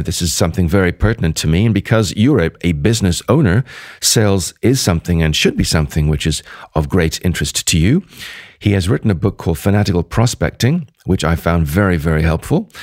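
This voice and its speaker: A hoarse man hosting a podcast, wrapping up a solo episode and previewing guests, talking rather quickly with frequent restarts. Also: sits low, darkish tone, dry recording, studio quality. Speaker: podcast host. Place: at a sales conference.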